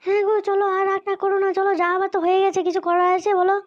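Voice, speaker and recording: Woman voicing a very high-pitched placating cartoon village wife. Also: level tone, very fast, lo-fi sound. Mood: soothing. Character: placating village wife and mother, soothing but quick to complain. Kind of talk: comedic cartoon dubbing.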